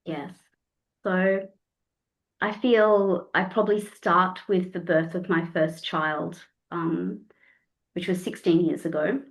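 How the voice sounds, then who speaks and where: A woman in her forties with a slightly croaky voice, reflecting as a guest in a casual podcast conversation. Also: fairly low pitch, fairly even intonation, slow, poor audio. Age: 40s. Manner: reflective, searching for words, repeats phrases. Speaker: guest, counsellor and somatic sexologist.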